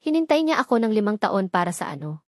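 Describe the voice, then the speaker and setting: A woman's voice: medium pitch, big pitch swings, fast, no room sound, close to an everyday voice. A torn young woman wondering aloud in confusion and grief, voiced plainly by a woman reading the story. The sound is dry and close, with no room around it. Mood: bewildered. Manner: questioning, bewildered.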